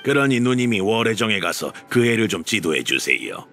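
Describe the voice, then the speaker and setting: A jovial elderly official from a video game, posing as a humble neighborhood uncle. His low voice swings in an animated way and has a bright edge. He talks in a folksy, old-fashioned manner. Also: moderate pace. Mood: polite, warm. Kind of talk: Korean video game voice acting.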